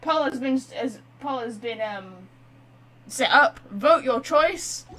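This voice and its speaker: A woman livestreamer with a slightly high voice talks casually to her viewers while gaming. She rambles and gets excitable, in loose, chatty phrases. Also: slow pace, low-quality recording. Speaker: live streamer. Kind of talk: casual game stream chat.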